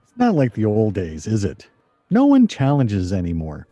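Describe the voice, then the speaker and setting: A man's voice: fairly low pitch, wildly swooping pitch, moderate pace, mellow, faint music behind. A man acting in a radio play as a plain-spoken, grumbling friend at a game table, blunt and skeptical. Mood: resigned.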